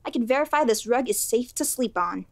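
A woman's voice: on the high side, expressive, a little fast, dry recording. A woman voicing a tired, stubborn cartoon character with a dry, grumbling manner. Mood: weary. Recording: clear background noise.

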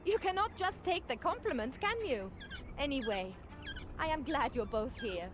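Woman voicing a high-pitched, proud young princess. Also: very animated, moderate pace, background noise. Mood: composed. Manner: formal phrasing without contractions, scolding. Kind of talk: video game voice acting.

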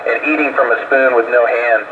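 A man's voice: medium pitch, steady tone, a little fast. A man explaining things conversationally in unscripted answers as an astronaut, speaking over an amateur radio link. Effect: radio transmission. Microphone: radio transceiver microphone. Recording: muffled, noisy ham radio link.

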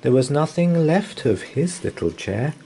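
A man reading a picture book aloud as a bedtime story, in an expressive, sing-song manner. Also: fairly high pitch, a little slow, vocal fry, slight background noise. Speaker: children's story reader. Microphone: camera above a picture book.

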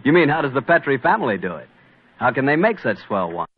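A male announcer with a smooth, folksy, salesman-like manner, doing 1940s radio announcing. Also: medium pitch, very animated, fast. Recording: muffled 1940s radio broadcast.

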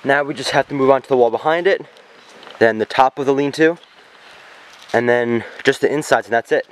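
A man's voice: medium pitch, brisk. A young man giving plain, matter-of-fact commentary as he works outdoors in a forest, recorded on a camera mic. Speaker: bushcraft vlogger. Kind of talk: casual narration while working. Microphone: camera mic on a tripod or handheld.

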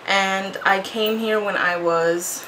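A woman's voice: mid-range voice, fairly even intonation, takes it slowly, sharp tone. A woman in her thirties from Puerto Rico, a native Spanish speaker, telling personal stories casually and candidly to the camera. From Puerto Rico, moved to Connecticut as a child. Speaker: video blogger. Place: small home room, indoors. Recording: echoey room, faint background noise.

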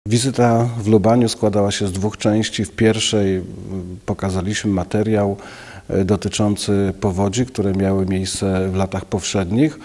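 A man in his fifties, a local official giving a news interview in an office, speaking haltingly with many filler sounds into a handheld mic. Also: on the low side, level tone, warm tone. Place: office, indoors. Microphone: handheld station mic.

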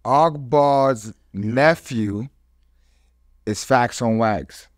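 A man chats as a podcast host. His voice is clear and smooth, recorded dry and close. He takes his time, with an appreciative, slangy way of talking. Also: medium pitch, extremely slow, no room sound. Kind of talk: casual podcast chat. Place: small studio with foam panels. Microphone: close studio mic.

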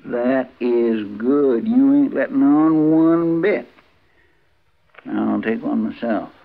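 An actor playing a folksy, excitable, garrulous frontier deputy with a rural American drawl, in a 1950s radio western. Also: male voice, medium pitch, takes it slowly, vocal fry. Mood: folksy, sly. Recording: dull, noisy, crackly 1950s radio recording.

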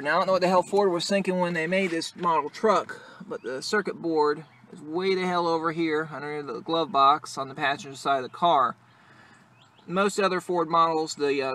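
A man's voice: a little high, pushed and bright. A man giving a casual, improvised how-to in a plain-spoken way, busy with his hands as he talks, recorded on a handheld device in a truck. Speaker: amateur video maker giving a how-to. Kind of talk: casual improvised tutorial talk. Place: in and around a pickup truck cab. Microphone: handheld phone or camera close to the work. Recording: noisy handheld recording, vehicle ambience.

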